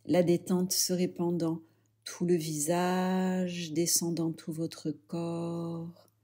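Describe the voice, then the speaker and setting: A woman leading a guided yoga relaxation, speaking softly and soothingly in short phrases with pauses. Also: fairly low pitch, flat delivery. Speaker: yoga nidra instructor.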